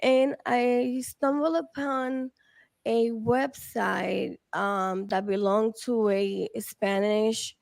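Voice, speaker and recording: Woman from the dominican republic, slow and halting, gravelly, dry home-mic sound. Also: on the high side, steady tone, a little mellow, no room sound. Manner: halting, full of fillers and restarts. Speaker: research team member. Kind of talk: casual panel talk.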